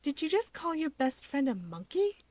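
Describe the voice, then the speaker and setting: A woman acting out a perky young female wizard in a fan fiction story, fairly high in pitch. She teases playfully and encourages earnestly, with a quick-witted delivery in a dry, close sound. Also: big pitch swings, a little mellow, dry recording. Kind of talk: fan fiction character reading.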